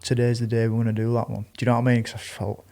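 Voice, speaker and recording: A man's voice: mid-range voice, monotone, racing, vocal fry, mellow. Male podcast contributor, Northern English, middle register, casual conversational delivery. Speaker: actor on a podcast. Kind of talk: casual chat.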